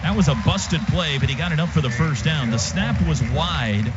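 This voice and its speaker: A man's voice: a little high, animated, moderate pace, loud music behind the voice. A man doing live radio play-by-play of a football game in clipped, punchy phrases. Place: stadium radio booth. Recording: dull radio broadcast, loud stadium noise. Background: loud stadium ambience.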